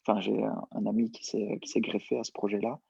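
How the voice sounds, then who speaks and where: Young male guest, low husky gravelly voice, relaxed hesitant delivery, dull lo-fi recording. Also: fast talker, no room sound. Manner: relaxed, hesitant with many fillers. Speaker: entrepreneur guest. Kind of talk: casual interview answers. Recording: dull lo-fi sound.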